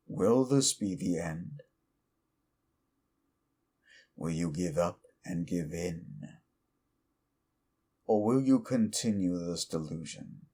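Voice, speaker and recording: A man's voice: low voice, husky, full character voice. A man playing a scornful, exasperated demon. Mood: menacing, hushed. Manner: swings from hushed menace to exasperated ranting. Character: scornful lawful-evil male demon, bitter and mocking. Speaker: voice actor in an audio roleplay. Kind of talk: audio roleplay addressed to the listener.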